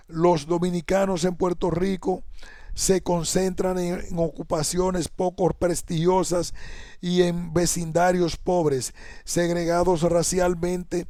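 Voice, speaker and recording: A man reading an academic essay aloud in a measured, even delivery, sometimes correcting himself. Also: sits high, no echo, clean studio sound. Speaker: essay reader.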